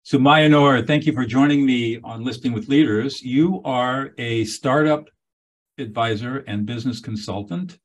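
A man hosting a leadership interview podcast, speaking slowly and deliberately as he introduces his guest. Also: medium pitch. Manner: slow, deliberate spelling out. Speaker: podcast host.

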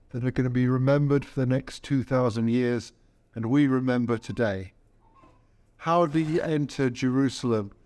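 A man speaking as a priest leading a service, preaching and praying in a measured, solemn way. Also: medium pitch, a little mellow. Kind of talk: sermon and liturgy.

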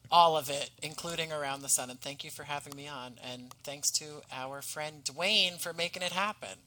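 A man speaking as an interview guest, articulate, measured and courteous in conversation. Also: fairly high pitch, sharp tone, a little hiss or hum. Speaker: podcast guest, playwright and writer.

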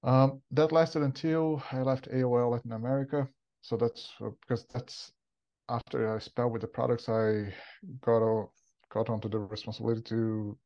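Gravelly Brazilian man, dull lo-fi sound. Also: medium pitch. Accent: Brazilian Portuguese. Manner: relaxed and thoughtful, frequent "kinda" and restarts. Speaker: podcast guest. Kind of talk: casual interview, career story.

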